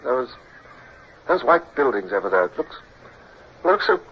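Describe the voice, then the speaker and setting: An actor playing an eager, deferential young British naval officer with a husky voice in a radio drama. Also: male voice, medium pitch, sing-song, a little slow, heavily acted. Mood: flustered, awed. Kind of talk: old-time radio drama acting. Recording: dull old radio recording.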